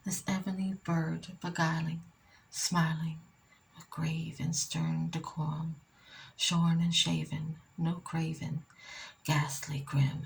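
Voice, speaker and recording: Woman, soft-spoken ASMR poetry reading, low-pitched, flat intonation, frequent whispers, deliberate pauses. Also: vocal fry, a little hiss or hum, rough recording. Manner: slow, deliberate, pausing between short phrases. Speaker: poet reading own work.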